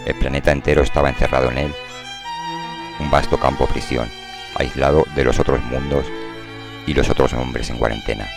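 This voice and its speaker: Super deep guy reading a novel for a radio drama, measured storyteller delivery, music playing behind. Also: level tone, creaky. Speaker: narrator. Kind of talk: scripted dramatized novel narration. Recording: prominent music under the voice.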